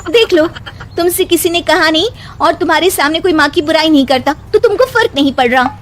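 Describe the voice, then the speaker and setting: Casting: woman as feisty young cartoon daughter-in-law, high voice, assertive, audible noise behind her. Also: a little fast. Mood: complaining. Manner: pointed, assertive. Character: feisty young daughter-in-law who answers back in verse. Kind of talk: animated story character acting.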